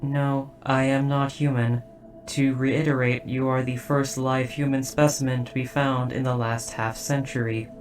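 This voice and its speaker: A performer voicing a dutiful robot soldier in an audio drama, speaking in a formal, precise, measured and softly hesitant way. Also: mid-range voice, flat delivery, far from the mic, close to an everyday voice. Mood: calm, formal. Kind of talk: scripted roleplay audio drama.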